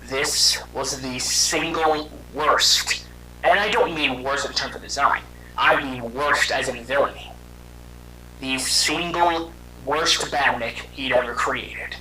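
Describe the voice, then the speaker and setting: A man voicing a weary young robot hedgehog, telling his story in a halting, conversational and dramatic way for a fan audio drama. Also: mid-range voice, natural voice, rough recording. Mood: grim, haunted.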